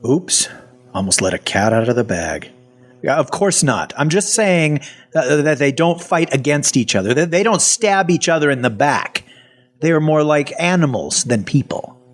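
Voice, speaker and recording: A man's voice: on the high side, sing-song, normal speed. An actor playing a wry, cynical half-zombie man in an audio fiction drama, telling his own story in a sardonic, colloquial manner. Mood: defensive, sardonic. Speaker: voice actor and narrator. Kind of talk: first-person audio fiction narration and dialogue.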